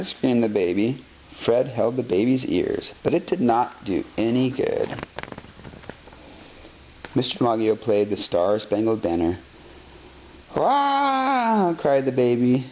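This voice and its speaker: A man narrating a children's story expressively, reading deliberately phrase by phrase. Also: lowish voice. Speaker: storybook reader. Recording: dull lo-fi sound, faint noise.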